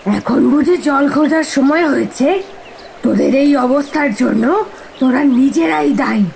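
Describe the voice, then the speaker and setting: A woman voicing a fierce, threatening mermaid who guards her forest spring in an animated fairy tale. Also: high voice, unhurried, gravelly, a little hiss or hum. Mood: angry, accusing. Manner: threatening, accusatory.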